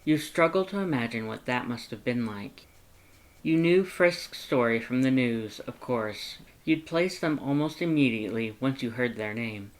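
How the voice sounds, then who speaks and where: A man reading a story aloud as an audiobook narrator, in a measured, gentle manner. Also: mid-range voice, lively intonation, low-quality recording. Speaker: fan fiction audiobook narrator.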